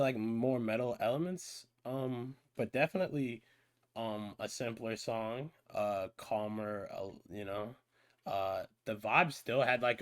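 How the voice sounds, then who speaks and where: A man in his thirties giving a casual music reaction, thinking aloud with many fillers and pauses, on a headset in a small room. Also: mid-range voice, dry recording.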